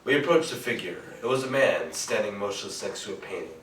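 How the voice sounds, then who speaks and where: A cool hard-boiled detective, acted by a man tells his story in a lowish voice with measured, wry delivery. It has the style of 1940s radio noir played on stage. The recording is echoey and distant, with faint noise. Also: animated, a bit bright.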